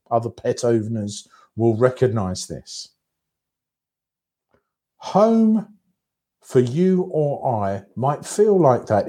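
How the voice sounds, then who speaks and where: A man giving a reflective sermon in a measured, contemplative way, breaking his thoughts into short phrases. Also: medium pitch, warm tone. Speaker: preacher.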